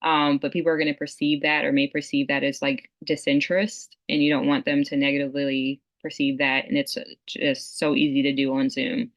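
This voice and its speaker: A woman physician on a video call, giving earnest advice in a monotone delivery. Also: rumbling low, fairly quick, hardly any pauses. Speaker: physician panelist. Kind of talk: webinar panel advice. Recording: dull lo-fi video call audio.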